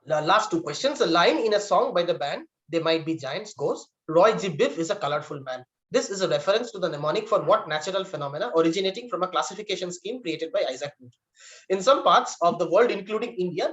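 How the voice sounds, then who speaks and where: A man's voice: high voice, expressive, quick pace, a bit bright, lo-fi sound. A man acting as quiz master, reading out trivia questions and giving verdicts on answers.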